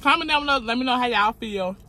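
A woman's voice: on the high side, fast, bright, forceful tone. A woman, talking casually to camera from a parked car, blunt and outspoken. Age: 20s. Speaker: vlogger. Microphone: phone camera on dashboard.

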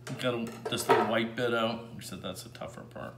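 A man's voice: medium pitch, steady tone, brisk, a bit bright, a little hiss or hum. A middle-aged man as a guest in a cooking show, asking eager questions while he chops vegetables in a large home kitchen. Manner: curious, asks many questions, eager. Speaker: guest cook. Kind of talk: casual cooking demonstration. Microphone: far camera mic. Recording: echoey kitchen from far off, clattering dishes.

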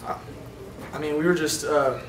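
A young man, a college quarterback at a postgame press conference, answering questions in a halting way with many fillers and restarts. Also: on the high side, steady tone. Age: young adult. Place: indoor press room in front of a sponsor backdrop. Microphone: table microphones from a short distance. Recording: roomy, distant sound with faint noise.

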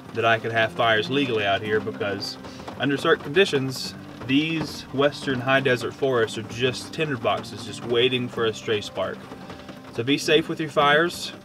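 A man in his thirties with an American accent, talking casually to camera as he gives camping advice outdoors. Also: mid-range voice. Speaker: camping vlogger. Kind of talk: casual instructional talk to camera. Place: outdoors at a forest campsite under a shelter. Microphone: on-camera shotgun mic at short distance.

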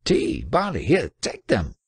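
A man acts out a giant of a trader from a storybook, boisterous and easily spooked. He bellows effusively, his voice leaping well above a narrator's register and swinging widely, with a rough husky edge. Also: mid-range voice, wildly swooping pitch, a little slow, dry recording, pitched above the performer's own voice, full character voice. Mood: booming, eager. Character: huge, boisterous, superstitious male Tibetan trader, bellowing and fearful.

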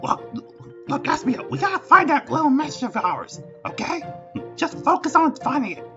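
A man voicing a bossy, exasperated cartoon crocodile detective with a strained high voice in a parody dub. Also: very animated, moderate pace, a bit bright, lo-fi sound, soft background music, heavily acted. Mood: insistent. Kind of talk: improvised parody fandub.

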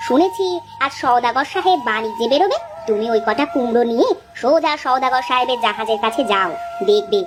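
A woman voices a practical, insistent village wife in a cartoon folk tale. Her voice is very high and she speaks in an urging way over clear music. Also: expressive, brisk, lightly acted. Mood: encouraging. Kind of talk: cartoon voice acting. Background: background music.

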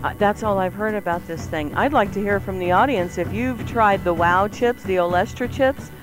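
A woman's voice: medium pitch, normal speed, rough recording. A woman co-hosting a radio show in a casual, bantering style, playful and theatrical, given to exclamations. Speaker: radio co-host. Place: radio studio. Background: music.

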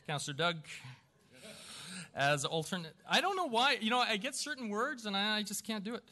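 A man speaking as mayor at a council meeting, running through formal procedure in a halting, self-deprecating way with informal asides. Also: fairly high pitch, sharp tone. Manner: halting, self-deprecating, stumbling over names. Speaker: newly elected mayor chairing a council meeting. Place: council chamber with a small audience.

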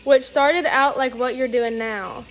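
A woman's voice: sits high, a little slow, noisy background. A young woman, casually reading viewer comments aloud during a live cooking stream in a home kitchen. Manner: casual, reads comments aloud, says y'all.

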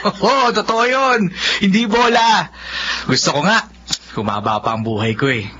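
A man's voice: sits high, unhurried, a little hiss or hum. An actor playing an earnest, tender young man in love in a Filipino radio romance drama. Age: young adult. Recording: muffled audio with loud music underneath.

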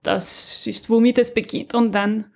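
A woman's voice: a little high, fairly even intonation, a little slow. A woman co-hosting a podcast, talking casually about a radio drama with many fillers, restarts and laughs. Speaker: podcast co-host.

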